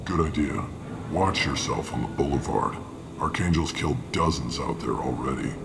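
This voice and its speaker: An actor playing a gruff, weary, matter-of-fact male mercenary briefing hired guns in a video game. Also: a bass voice, expressive, normal speed, full character voice. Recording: clearly noisy game ambience behind.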